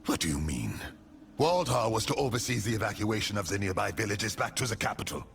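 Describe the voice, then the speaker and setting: An actor playing a battle-hardened, dutiful military commander in a video game, speaking in a gravelly, grave, formal and commanding way. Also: male voice, lowish voice, expressive, fairly quick, a bit bright, slight background noise. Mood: grave, resolute. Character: battle-hardened male army commander, stern, dutiful, urgent.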